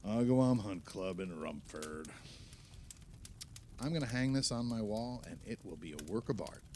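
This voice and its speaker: An actor playing a jovial, enthusiastic husband hosting a holiday party in an audio play, hearty and exclamatory. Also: male voice, fairly low pitch, lively intonation, moderate pace, darkish tone, slight background noise, close to an everyday voice. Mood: delighted.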